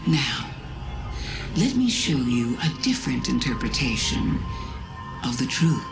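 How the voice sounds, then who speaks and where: A woman's voice: very animated, vocal fry, exaggerated voice. A woman playing a cunning, captive alien witch queen in a theatrical video game monologue, silky, insinuating and manipulative. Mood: sly, confiding. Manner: silky, deliberate, insinuating, whispery at times. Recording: music and rumbling ambience behind voice.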